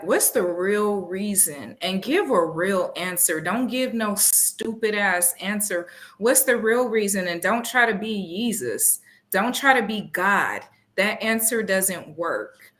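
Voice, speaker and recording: A woman's voice: mid-range voice, a bit bright. A woman co-hosting a podcast, talking in a blunt, emphatic way and cursing freely. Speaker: podcast co-host. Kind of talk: casual chat.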